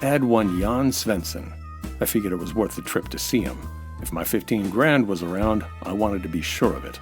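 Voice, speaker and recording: A man with an American accent playing a world-weary, hardboiled nightclub owner narrating and talking in deadpan 1940s radio noir style. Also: lowish voice, normal speed, music in the background, lightly acted. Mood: wry. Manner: dry, wry, deadpan.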